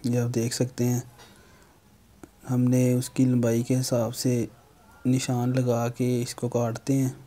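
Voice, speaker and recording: A young man hosts a how-to tutorial. His mid-pitched voice stays very even in tone as he explains each step plainly, like an instructor. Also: a little slow, long pauses. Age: young adult. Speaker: how-to video host.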